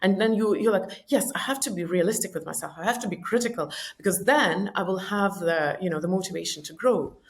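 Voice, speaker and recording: A woman's voice: lowish voice, a little fast, few pauses. A woman whose first languages are Estonian and Russian, speaking English as a podcast guest in an earnest, reflective, coaching tone. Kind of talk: reflective conversational explanation.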